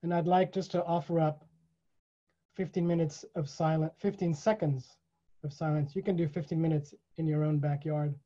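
An older man hosting an online event, giving welcoming remarks in a measured way, pausing and repeating phrases deliberately. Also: a little high, flat delivery, warm tone. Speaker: event host. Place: small indoor room. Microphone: computer mic on a video call. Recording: dull, lo-fi video call audio.

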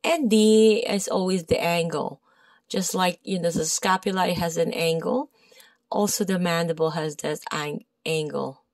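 A woman's voice: lowish voice, steady tone, a little slow, no echo. A woman with a Filipino accent walking through an anatomy textbook page in a casual study lesson, hesitant, with many fillers and self-corrections. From Philippines. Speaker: massage therapy tutor. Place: indoors at a desk. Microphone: phone camera overhead, speaker off screen.

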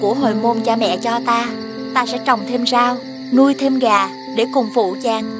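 A woman playing a devoted young lady of a rich family in an animated folk tale, sweet, earnest and polite. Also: fairly high pitch, moderate pace, over music, close to an everyday voice. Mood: tender. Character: gentle, devoted young rich man's daughter turned wife.

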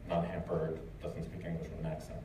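Low-voiced man chatting in an echoey hall. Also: monotone, a little fast. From United States. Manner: loose and chatty, many fillers and restarts. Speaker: lecturer and translator. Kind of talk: casual Q&A after a talk. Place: lecture hall. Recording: echoey hall, distant mic, noisy.

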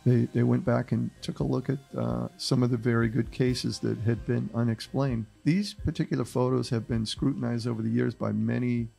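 Gravelly middle-aged male podcast host. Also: medium pitch, fairly even intonation, normal speed, hardly any pauses, mellow, faint music behind. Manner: explains step by step, repeats phrases. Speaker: podcast host presenting a case. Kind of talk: casual chat, walking through photos. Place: small studio with red backdrop. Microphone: studio mic on boom arm, headphones.

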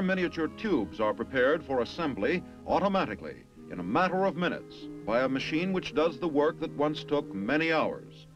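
An American man reading formal, emphatic scripted narration in the manner of a 1950s military documentary, with measured phrasing. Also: fairly high pitch. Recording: dull vintage film soundtrack with music.

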